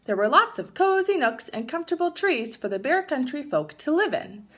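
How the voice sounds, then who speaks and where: Woman, teacher, storybook read-aloud, fairly high pitch, lively intonation. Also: moderate pace. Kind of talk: animated children's storybook narration.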